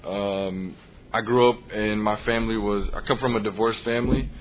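A man's voice: lowish voice, fairly even intonation. Earnest American man, teacher on a panel. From United States. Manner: earnest, measured, frequent "uh". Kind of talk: panel discussion. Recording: dull lo-fi recording, faint noise.

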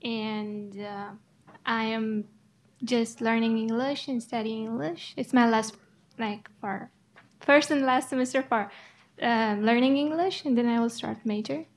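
A nineteen-year-old woman from Afghanistan, a student panelist, speaking in a shy, halting way. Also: on the high side, steady tone. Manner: shy, halting, with soft laughs.

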